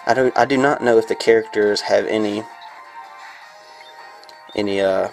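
Casual gameplay reviewer, male, congested, gravelly low voice, rambling with lots of fillers, faint game music underneath. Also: fairly even intonation, normal speed. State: congested from a sinus infection.

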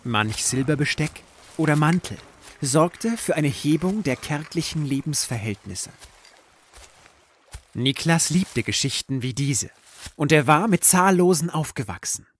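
A man's voice: fairly high pitch, expressive, a little slow. Man narrating literary fiction, noisy recording. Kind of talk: scripted literary audio-drama narration.

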